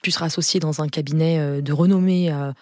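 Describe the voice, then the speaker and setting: A woman's voice: deep voice, fairly even intonation, fast, warm tone, dry recording, clean studio sound. A woman, a lawyer answering podcast interview questions in an earnest, frank way, often hesitating and repeating herself. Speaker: lawyer and law firm partner.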